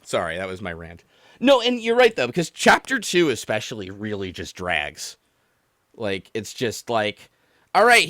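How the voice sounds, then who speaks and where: A man's voice: medium pitch, no echo, clean studio sound. A man hosting a livestream, ranting casually about a game in an exasperated, rambling, profane way. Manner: exasperated, animated, rambling, mimicking others in mock quotes. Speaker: podcast and livestream host taking callers.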